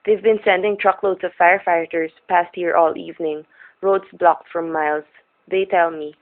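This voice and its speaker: Narrow old-radio recording, woman playing elderly roadside diner keeper, lowish pitch, chatty, folksy, rustic speech, character acting. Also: steady tone, moderate pace, natural voice. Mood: gossipy, concerned. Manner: gabby, homespun, rustic grammar.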